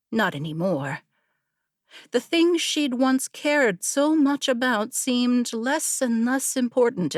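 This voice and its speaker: A woman narrating a scripted story as an audiobook, in a measured, literary storytelling manner. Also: mid-range voice, no room sound, studio quality.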